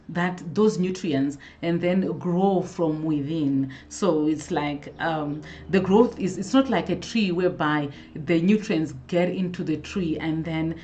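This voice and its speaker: A woman's voice: lowish voice, darkish tone, highs cut off. A woman hosting a casual live stream, chatting to viewers, greeting them by name and often restarting her sentences. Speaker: hair care vlogger hosting a live stream.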